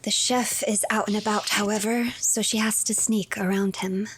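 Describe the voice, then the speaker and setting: A woman narrating a game's story in a measured, scripted storytelling manner. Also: mid-range voice, fairly even intonation, a little hiss or hum, rough recording. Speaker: streamer narrator. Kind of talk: scripted storybook-like narration of a game, third person.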